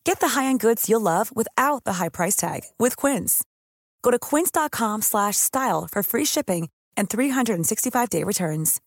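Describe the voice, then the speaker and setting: A woman announcer delivers a scripted ad with very lively intonation. Her pitch is average and the sound is extremely dry, with no room at all. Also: moderate pace, dry recording. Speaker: advertising announcer. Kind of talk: scripted ad read.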